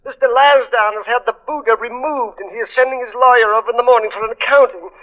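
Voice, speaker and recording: An actor playing a fretful, timid shop manager in an old radio drama, anxious and hesitant in manner. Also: male voice, extremely high pitch, fast talker, lo-fi sound. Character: fretful, hard-luck, timid male import shop manager. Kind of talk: 1950s radio detective drama acting. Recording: thin, band-limited old radio recording.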